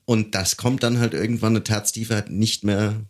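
A man in casual conversation, explaining things informally with hesitant pauses. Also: on the low side, fairly even intonation. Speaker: podcast speaker knowledgeable about singing.

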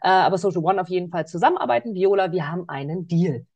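A woman's voice: lowish voice, expressive, fast talker, darkish tone, no room sound, muffled recording. Woman interviewer, very dry close sound. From Berlin, Germany. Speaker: podcast interviewer. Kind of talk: conversational interview.

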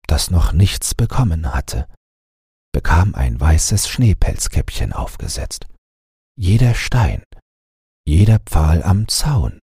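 A man narrating a bedtime fairy tale as an audiobook, in an expressive storytelling manner. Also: low voice, breathy edge, dry recording, studio quality.

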